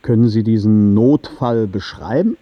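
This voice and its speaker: A man's voice: fairly low pitch, unhurried, dry recording, lo-fi sound. An actor playing a firm, probing male judge in a German radio play, questioning formally and insistently.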